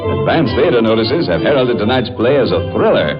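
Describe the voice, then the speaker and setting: A man's voice: medium pitch, sing-song, fairly quick, music in the background. An American man hosting a radio drama, narrating from a script in a polished, inviting showman's manner of old-time radio. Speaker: radio drama host and announcer. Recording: dull old radio recording.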